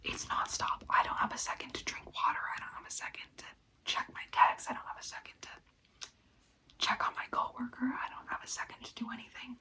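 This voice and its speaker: A woman's voice: fairly quick, bright, forceful tone, poor audio. A young woman who works a part-time food service job, whispering a story and venting with lots of "like" fillers. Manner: whispering throughout, frequent "like" fillers; exasperated venting.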